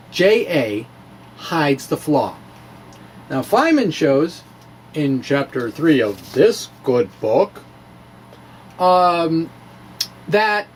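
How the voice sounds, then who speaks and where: A man giving a dramatic reading of a science blog, halting and theatrical, with informal wry asides. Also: on the high side, a little hiss or hum. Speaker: physics blogger.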